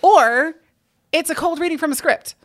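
A woman's voice: high-pitched, normal speed, a bit bright, no echo, clean studio sound. A woman chatting casually as an interview guest, enthusiastic and emphatic, repeating herself for stress. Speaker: guest, organizer of a play-reading group; actor. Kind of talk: casual podcast-style interview chat.

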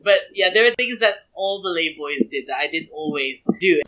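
A young man from Brunei talks about himself in an easygoing interview, lively and digressive. His voice is bright and a little high-pitched. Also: moderate pace, low-quality recording. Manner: chatty and animated, with many restarts and asides. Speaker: interview guest. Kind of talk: casual conversational interview.

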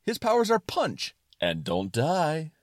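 Comic skit role: man as cheerfully insistent living suspenders, excitable, high and very animated. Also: slow, no room sound, clean studio sound, exaggerated voice. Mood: breezy, excited. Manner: excited, emphatic, twanging. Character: excitable, breezy, reassuring talking suspenders that twang.

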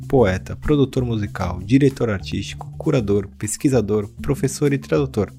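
A man's voice: medium pitch, gravelly. A Brazilian man hosting a podcast, reading a scripted introduction. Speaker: podcast host. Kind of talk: scripted narration introducing an artist. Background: guitar music.

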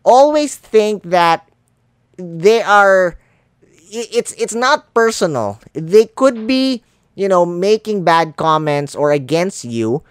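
A man's voice: high voice, lively intonation, slow, clear, resonant tone, dry recording, studio quality. A man hosting a podcast, a Filipino speaker of English as a second language, talking earnestly and encouragingly about love and positivity. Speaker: podcast host. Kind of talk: casual podcast interview.

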